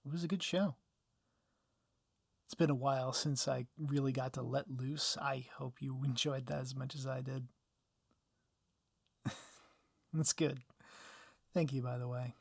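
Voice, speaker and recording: A man playing a reformed villain turned sappy, dorky sweetheart in an ASMR roleplay, speaking softly and intimately with gentle teasing. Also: mid-range voice, steady tone, unhurried, creaky, pauses often, husky, no echo. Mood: fond, relaxed.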